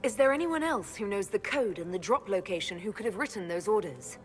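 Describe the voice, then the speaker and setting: A woman as a composed elf leader, mid-pitched. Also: animated, fairly quick, close to an everyday voice. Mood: composed, probing. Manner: direct, questioning, controlled. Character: composed female elf mage leading an inquisition. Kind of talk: fantasy game cutscene acting. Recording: music behind the voice.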